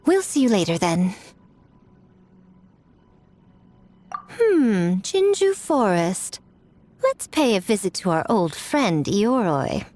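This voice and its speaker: A woman's voice: medium pitch, sing-song, slow pace, a little mellow, faint music behind. A woman voicing a sly, playful young kitsune in a video game, polite and sweetly teasing. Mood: friendly. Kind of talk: animated video game voice acting.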